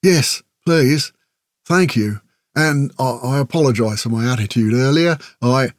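A man's voice: medium pitch, unhurried, dry recording, clean studio sound, lightly acted. A man voicing a proud, wounded orc warrior in an audiobook, terse but courteous. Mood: shaken, polite. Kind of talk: audiobook character dialogue.

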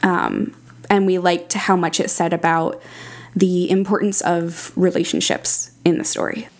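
Articulate woman with a slightly low voice. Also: little pitch movement, a little slow. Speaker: podcast critic and audio drama creator, guest. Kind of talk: interview conversation.

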